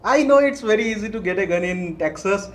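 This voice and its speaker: A man's voice: high-pitched, expressive, quick pace. A man hosting a casual interview, playful and chatty. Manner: playful, chatty, laughs at own jokes. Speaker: host.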